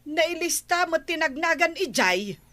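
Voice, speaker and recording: Radio comedy nag: female villager, Ilocano accent, complaining, high-pitched and forceful. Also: lively intonation, measured pace, full character voice. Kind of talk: broadcast comedy radio drama acting.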